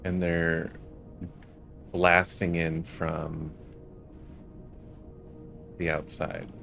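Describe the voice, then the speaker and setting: A man's voice: low-pitched, level tone, drawn-out words, vocal fry, a bit bright, faint music behind. A man giving casual commentary as he plays a video game. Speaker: gaming video host. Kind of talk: casual gameplay commentary, partly reading game dialogue aloud.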